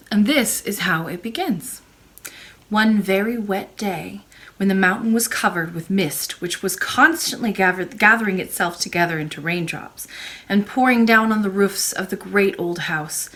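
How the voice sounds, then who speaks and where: Woman, librarian storyteller, expressive bedtime reading, measured delivery, mid-range voice. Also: medium pitch. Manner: expressive, measured storytelling with brief informal asides. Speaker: librarian reading aloud, host.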